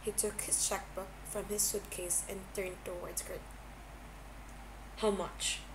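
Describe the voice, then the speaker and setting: A woman's voice: mid-range voice, little pitch movement. Woman reading fan fiction, noisy recording. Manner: halting reading with stumbles. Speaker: narrator, podfic reader. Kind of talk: amateur fan fiction narration. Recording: noisy amateur home recording.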